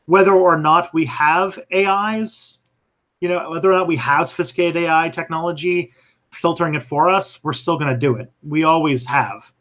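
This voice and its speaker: A man's voice: on the high side, a bit bright. A man hosting a live stream and answering viewer questions in a thoughtful, hesitant way, with many fillers and restarts. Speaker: history channel host answering viewer questions.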